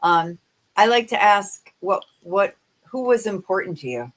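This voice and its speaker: A woman's voice: mid-range voice, very animated, unhurried. A woman whose first language is Dutch, hosting a casual podcast chat, agreeable and eager, with frequent filler words. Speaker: podcast host.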